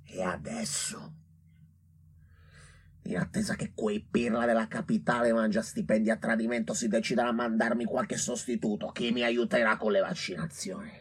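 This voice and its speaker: A man's voice: on the low side, fairly even intonation, moderate pace, breathy edge. A man playing an exasperated, pompous small-town doctor in a radio play, with a theatrical, grumbling, oratorical manner. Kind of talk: Italian radio play acting.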